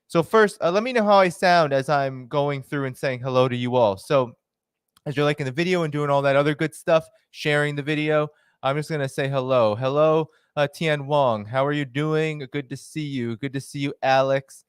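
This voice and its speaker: Chatty male livestream host, smooth dry voice. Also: on the high side, normal speed, no echo. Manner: chatty, greets viewers by name, many fillers. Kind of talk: casual livestream chat.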